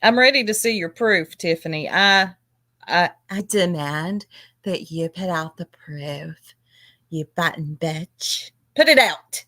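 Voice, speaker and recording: A woman's voice: lowish voice, slow pace, pushed and bright, no echo. A woman hosting a casual panel chat, mocking and taunting someone with frequent laughter. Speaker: host.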